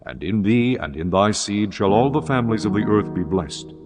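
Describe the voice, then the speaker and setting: A man narrating scripture in a measured, reverent manner. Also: lowish voice, expressive. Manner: measured, reverent, archaic diction. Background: guitar music.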